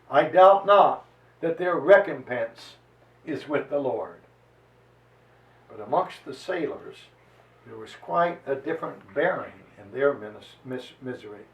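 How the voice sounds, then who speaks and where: A man giving an emphatic, declamatory dramatized reading of a historical chronicle, stretching out phrases deliberately. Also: sits high, dull audio. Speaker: reader and lecturer on history. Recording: roomy echo, voice distant from microphone.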